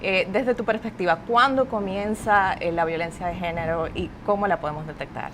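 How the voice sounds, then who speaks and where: A woman hosting a panel discussion, asking questions deliberately with frequent hesitations. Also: fairly low pitch, noisy background. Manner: deliberate, hesitant with many fillers. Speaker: host.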